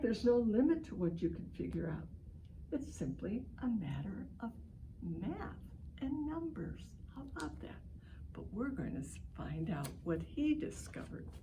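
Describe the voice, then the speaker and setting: A woman's voice: medium pitch, dark tone, slight background noise, low-quality recording. A woman reading a picture book aloud to children, with exaggerated emphasis, exclamations and simple asides to her young listeners. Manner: explains simply, addressing young listeners directly. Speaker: reading session leader for children.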